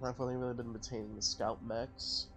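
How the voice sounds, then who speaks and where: A man's voice: medium pitch, little pitch movement, brisk. A man talks over his strategy game in a loose, thinking-aloud way, often stopping to correct his own math. His voice sits in a middle range. Faint game music plays underneath. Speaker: gaming channel host. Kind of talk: casual let's-play commentary.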